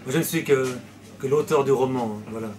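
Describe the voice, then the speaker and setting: A man's voice: medium pitch, fairly quick, a little mellow, slight background noise. A man from Switzerland, a novelist answering interview questions in a hesitant way, with many fillers and restarts.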